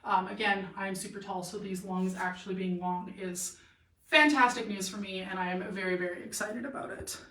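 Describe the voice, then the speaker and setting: A woman's voice: medium pitch, fairly even intonation, normal speed, pushed and bright. A woman hosting a casual live try-on stream as a boutique model, chatty and enthusiastic with lots of fillers, her voice tiring. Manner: chatty, enthusiastic, many fillers, answers viewer comments. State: voice getting tired. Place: clothing boutique. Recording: echoey room, slightly distant mic.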